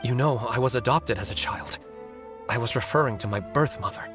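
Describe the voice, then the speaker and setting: A man playing a composed, polite novelist and killer, speaking articulately and deliberately in an anime video game. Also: mid-range voice, music in the background, close to an everyday voice. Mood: quietly bitter.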